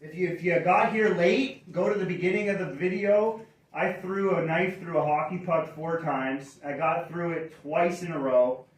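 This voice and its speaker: A man's voice: fairly high pitch, fairly even intonation, moderate pace. A middle-aged man from Canada chatting casually on a livestream as host, upbeat and rambling, correcting himself as he goes. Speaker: channel host. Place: indoors near a fireplace. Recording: roomy echo, mic far off.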